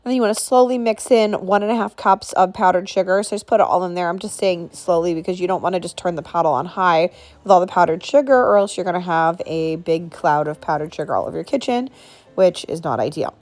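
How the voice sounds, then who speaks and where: A woman's voice: lowish voice, fast talker, darkish tone, soft background music. A woman in her thirties hosting a home cooking video, talking casually through a recipe with lots of asides, her voice congested from a sinus infection. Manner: chatty, frequent "like" and asides. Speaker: cooking channel host.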